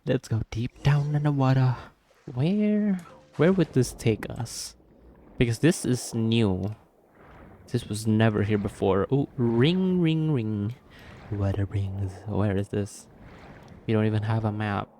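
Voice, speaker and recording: A man's voice: fairly low pitch, fairly even intonation, unhurried, mellow, a little hiss or hum. A man giving casual gameplay commentary, reacting and repeating words to himself in a muttering way. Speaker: gaming video creator. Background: game music.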